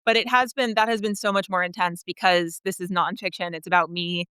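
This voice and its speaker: Woman, thirties, bright forceful tone, very crisp and smooth, candid, conversational interview guest. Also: fairly low pitch, steady tone, a little fast, dry recording. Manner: candid, chuckles as she talks. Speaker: writer, podcast guest. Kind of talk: conversational interview, personal storytelling.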